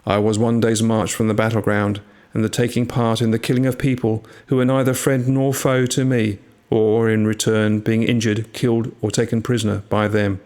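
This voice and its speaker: A man reading a scripted first-person tale in a measured, literary storytelling manner. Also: fairly low pitch, little pitch movement, darkish tone. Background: music.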